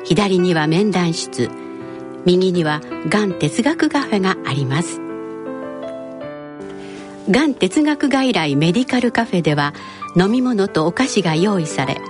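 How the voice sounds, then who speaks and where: A woman narrating a scripted radio drama in a measured, explanatory manner. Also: fairly low pitch, lively intonation, music in the background, natural voice. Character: female narrator explaining a clinic scene.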